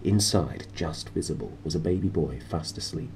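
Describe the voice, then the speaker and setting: A man's voice: deep voice, normal speed, gravelly, a little mellow, a little hiss or hum. A man with a sniffly nose reading a book expressively, with casual asides. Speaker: storyteller and reader.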